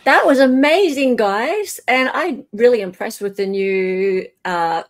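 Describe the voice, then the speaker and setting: A woman's voice: fairly high pitch, slow. Effusive woman hosting a livestream. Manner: effusive, encouraging. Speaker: host of a live stream. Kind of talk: casual livestream chat.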